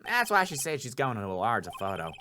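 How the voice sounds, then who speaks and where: A man hosting a game playthrough, thinking aloud in an animated way and repeating himself as he reads and comments. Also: medium pitch, fast talker, slight background noise. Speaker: voice actor host of a game playthrough. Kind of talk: casual commentary and reading game dialogue aloud.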